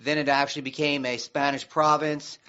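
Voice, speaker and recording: An American man co-hosting a radio show in casual discussion, rambling haltingly and often restarting mid-sentence. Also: fairly high pitch, little pitch movement, bright, forceful tone, smooth, dull audio.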